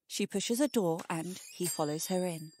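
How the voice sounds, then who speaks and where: A woman narrating a scripted short story in a deliberate, measured manner. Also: lowish voice, darkish tone, a little hiss or hum.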